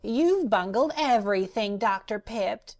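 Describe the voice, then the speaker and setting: A woman's voice: fairly high pitch, animated, unhurried, darkish tone, pitched above the performer's own voice. Woman doing a sneering glass cat. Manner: contemptuous, mocking.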